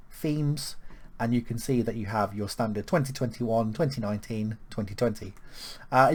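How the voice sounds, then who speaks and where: A man from the UK talking through a software setup step by step, with lots of ums and small restarts. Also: medium pitch. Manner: relaxed, filler-heavy walkthrough with frequent ums. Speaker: web tutorial presenter. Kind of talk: screen-recorded software tutorial.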